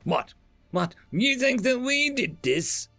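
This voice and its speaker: A man's voice: very high-pitched, very animated, slow, gravelly, pushed and bright, soft background music, pitched above the performer's own voice, full character voice. A man voicing a touchy, indignant male diplomat who snaps back defensively. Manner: huffy, defensive outbursts.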